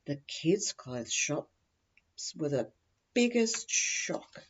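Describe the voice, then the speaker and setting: A woman's voice: low voice, big pitch swings, drawn-out words, lo-fi sound, a little hiss or hum. A woman with an Australian accent reading a scripted humorous first-person story in a dry, matter-of-fact comic way. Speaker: humorous writer reading their own story.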